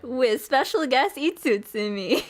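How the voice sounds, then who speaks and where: A woman's voice: high voice, lively intonation, takes it slowly. A young woman streaming, chatty and playful, moving between casual chat and reading a visual novel aloud. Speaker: streamer and host.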